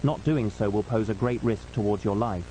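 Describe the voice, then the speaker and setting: Mellow-voiced man reading a formal emergency announcement. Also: lowish voice, normal speed, slight background noise, low-quality recording. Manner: formal, solemn. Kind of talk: scripted emergency news announcement.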